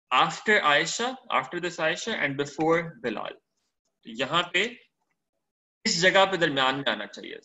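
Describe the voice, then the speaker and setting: A man's voice: fairly high pitch, sharp tone, poor audio. A man teaching a spreadsheet lesson over a screen recording, switching between Hindi-Urdu and English, checking in often with short questions. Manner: mixes Hindi-Urdu and English, explains step by step.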